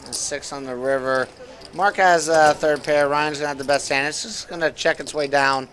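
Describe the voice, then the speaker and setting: A man's voice: a little high, fairly even intonation, normal speed, a bit bright. An American man giving casual live poker commentary as a guest, easygoing and chatty.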